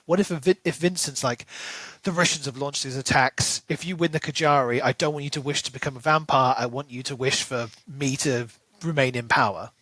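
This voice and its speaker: A man co-hosting a podcast, talking through a book casually and animatedly, often thinking aloud in halting bursts. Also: mid-range voice, pushed and bright, dry recording, low-quality recording. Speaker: podcast co-host.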